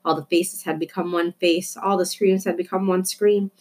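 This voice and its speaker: A woman reading a children's novel aloud as an expressive storyteller. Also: fairly low pitch, normal speed. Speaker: storybook reader. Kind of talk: expressive children's book narration.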